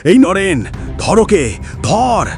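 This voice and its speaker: A man's voice: sits high, big pitch swings, very slow, over music, voice pushed up, exaggerated voice. A man voicing a cruel, haughty village landlord in an audio horror story, threatening and imperious. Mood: angry, commanding.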